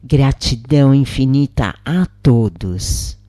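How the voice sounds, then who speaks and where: A woman reads a channeled spirit's story in a calm, reverent tone, taking her time over every phrase. Her voice is soft and mellow, recorded close and dry with no room sound. Her pitch sits in the middle for a woman. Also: takes it slowly, no echo. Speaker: reader of channeled spirit messages. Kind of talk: slow devotional first-person reading.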